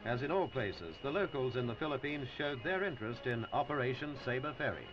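A man narrating a 1950s newsreel in a formal, measured announcer's delivery. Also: mid-range voice, animated, music in the background. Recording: dull old newsreel film soundtrack.

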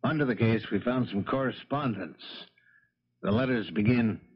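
An American actor playing a methodical, businesslike police investigator pressing a suspect in a 1970s radio drama. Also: male voice, medium pitch, moderate pace, slightly rough, natural voice. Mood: pointed. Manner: businesslike, pointed. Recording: muffled 1970s radio recording.

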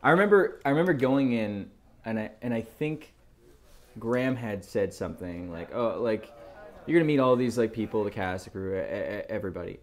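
Halting, low-voiced man reflecting casually. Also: expressive. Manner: halting, casual, repeats himself. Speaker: lead actor in an indie film. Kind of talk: reflective interview recollection.

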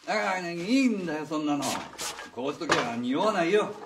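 An actor playing a slovenly, shifty father in his forties in a radio drama, casual and husky. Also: fairly high pitch, expressive, normal speed, background noise, natural voice. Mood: gruff, conspiratorial. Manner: casual, gruff with son, ingratiating with visitor. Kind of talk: Japanese radio drama acting.

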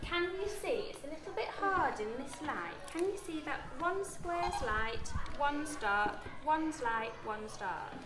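A woman's voice: high voice, animated, measured pace, hardly any pauses. A British woman, a primary school teacher, explains things step by step to young children with plenty of questions. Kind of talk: interactive classroom lesson with young children. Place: indoor classroom with wooden floor. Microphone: camera a short distance away. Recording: echoey classroom, picked up at a distance.

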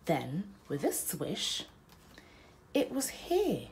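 A young woman narrating a children's bedtime picture book aloud, with deliberate, dramatic phrasing. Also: on the low side, huge pitch swings, a little mellow, a little hiss or hum.

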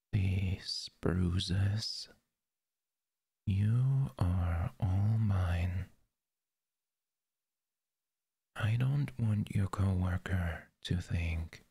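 A possessive, jealous lover, played by a man in an audio roleplay, speaking very slowly and intimately close to the microphone. His low voice is gravelly and very breathy, and he often drops into a whisper. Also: dark tone, dry recording, full character voice.

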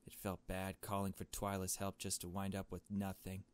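A man's voice: low voice, monotone, moderate pace, clear, resonant tone, lightly acted. A man voicing a nervous, shaken young woman who narrates a visual novel mystery in a hesitant, uneasy way. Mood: subdued, uneasy. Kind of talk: visual novel story read aloud.

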